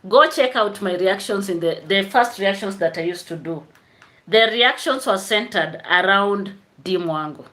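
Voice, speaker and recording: A woman's voice: medium pitch, moderate pace, fairly bright tone. A woman chatting casually on a live stream, greeting viewers by name and laughing often. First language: Swahili.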